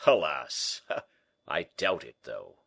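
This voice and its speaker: A man's voice: a bass voice, sing-song, takes it slowly, creaky, fairly bright tone, breathy edge, exaggerated voice. Man acting a lugubrious, blustering rich nobleman, heavily emphatic. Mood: despairing. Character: Porthos, vain rich big nobleman, melancholy and blustering. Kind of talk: audiobook character acting.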